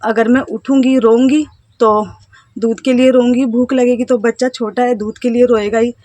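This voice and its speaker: A woman in her thirties from India, a housewife telling her life story to camera in a halting, hesitant way. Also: a little high, fairly even intonation, dark tone, no echo. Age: 30s. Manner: hesitant, halting, with self-conscious laughs and trailing phrases. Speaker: vlogger, housewife. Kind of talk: casual personal storytelling to camera.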